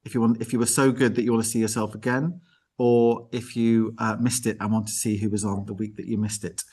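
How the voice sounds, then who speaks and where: A man's voice: lowish voice, flat delivery, fast talker, darkish tone, breathy edge. Enthusiastic, chatty man hosting. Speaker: host. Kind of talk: informal live online arts show presenting and interviewing.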